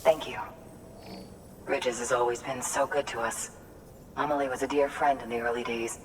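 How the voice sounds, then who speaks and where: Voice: mid-range voice, steady tone, normal speed, close to an everyday voice. A performer playing a kindly, earnest farmer in a video game, speaking in a folksy, effusive way. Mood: grateful, amazed. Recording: distant from mic, faint ambient noise.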